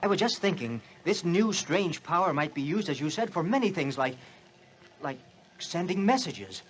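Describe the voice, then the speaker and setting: A man playing an earnest, imaginative inventor in a 1940s radio drama, speaking formally and deliberately. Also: on the high side, sing-song, poor audio. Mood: eager, inspired.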